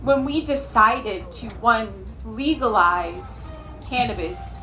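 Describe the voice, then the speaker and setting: A woman from California with an American accent, speaking emphatically and deliberately as a panellist at a public event. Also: fairly high pitch, animated, bright, forceful tone, faint music behind, low-quality recording. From California, United States.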